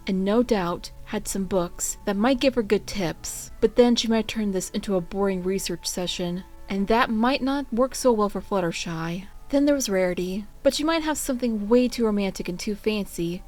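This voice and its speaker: A woman reading fan fiction aloud as an expressive storybook narrator. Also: mid-range voice, moderate pace. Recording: music under the voice.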